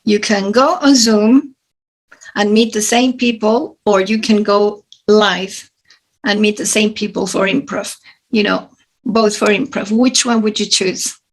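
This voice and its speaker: A woman's voice: medium pitch, steady tone, unhurried. A woman hosting a casual interview over a video call, asking her questions hesitantly with fillers. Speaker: interview host.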